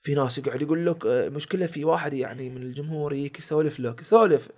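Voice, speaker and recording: A man's voice: fairly high pitch, very fast. A young Bahraini man with a village Bahraini accent, telling stories in a casual podcast chat and acting out the people he quotes. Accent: Bahraini village dialect. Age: young adult. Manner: animated storyteller acting out quoted exchanges. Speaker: guest, content creator and comedian.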